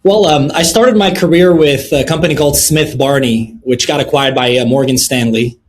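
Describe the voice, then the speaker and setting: A middle-aged man from Lebanon answering interview questions in a measured way, with many filler sounds between phrases. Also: medium pitch. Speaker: guest, precious metals dealer.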